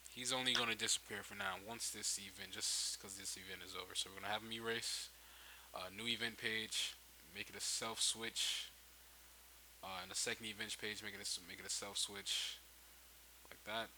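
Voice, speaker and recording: A man with a fairly low but strikingly bright, edgy voice hosts a casual tutorial. He talks off the cuff, working things out aloud and doubling back on himself. A light hiss sits behind his home recording. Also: little pitch movement, moderate pace, low-quality recording. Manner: informal, thinking aloud, backtracking mid-explanation. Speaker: tutorial host. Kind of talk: casual unscripted software tutorial walkthrough. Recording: hissy home microphone, noticeable background noise.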